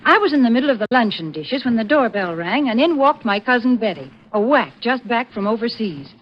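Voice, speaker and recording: A chatty, cheerful female homemaker sells in folksy forties radio style. Her voice is highish and very lively, on a muffled old recording. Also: a little fast. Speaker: sponsor spokeswoman. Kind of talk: 1940s radio advertising. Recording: muffled, slightly noisy 1940s radio recording.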